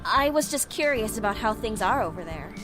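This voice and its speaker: A woman voicing a shy, reserved young woman student in a visual novel, speaking quietly and hesitantly over game music. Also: medium pitch, lively intonation, a little fast, close to an everyday voice. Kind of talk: voiced visual novel dialogue.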